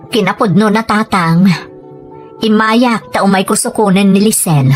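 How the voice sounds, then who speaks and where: A woman's voice: mid-range voice, a little slow, faint music behind, close to an everyday voice. A woman playing a pleading, questioning mother who is struggling, in a family drama. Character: pleading, self-concerned adult daughter, a struggling mother. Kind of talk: dramatized Ilocano family story.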